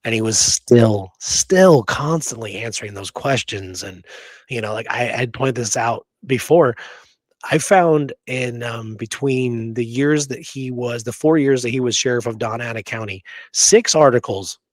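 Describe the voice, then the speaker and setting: A man talks history as a podcast co-host in a casual, conversational way. He makes his points emphatically and often tags them with a quick check for agreement. His pitch is average for a man, and the sound is close and dry. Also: dry recording. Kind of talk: casual history discussion with some reading aloud.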